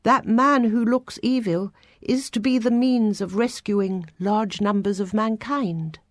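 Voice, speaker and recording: An anguished, overwhelmed scholar from a storybook, acted out by a woman narrator. The speech is formal and agitated, pushed higher and louder, with deliberate pacing. The recording is dry and close. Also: dry recording, close to an everyday voice. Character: anguished, overwhelmed male scholar seeing hidden thoughts. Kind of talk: audiobook storytelling.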